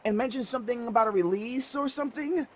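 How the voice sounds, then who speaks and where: A woman playing a youthful, nervous, friendly kid survivor in an audio drama, chatty, excitable and prone to stammering. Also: a little high, fast talker, slight background noise, natural voice. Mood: flustered. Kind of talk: fan audio drama acting.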